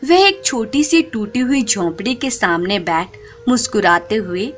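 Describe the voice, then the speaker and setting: A woman storyteller reads a folk tale at a slow, measured pace. Her pitch moves expressively through each sentence, with music playing under her voice. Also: expressive. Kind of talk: scripted narration of a moral folk tale.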